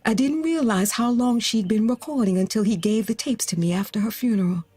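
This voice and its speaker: Bereaved middle-aged mother, breathy husky voice, unhurried, gentle and earnest, audio drama, soft music behind. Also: a little high, no echo. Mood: tender, wistful. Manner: slow, gentle, earnest delivery with pauses. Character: grieving, consoling mother who lost her teenage daughter. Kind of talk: naturalistic audio drama acting.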